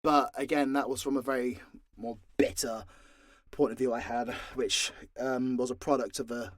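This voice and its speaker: A man's voice: mid-range voice, level tone, normal speed, no room sound. A British man giving a frank, sweary, rambling and informal Q&A chat, close on the mic. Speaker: audio role-play creator and voice actor.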